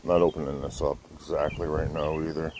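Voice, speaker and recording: A man's voice: rumbling low, level tone, quick pace. A man hosting a casual product showcase vlog, talking in an informal, laid-back, hesitant way with plenty of fillers. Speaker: fireworks channel host. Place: outdoors in a windy spot by a pickup truck bed. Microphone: handheld camera setup with an added mic. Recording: windy outdoor noise. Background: wind.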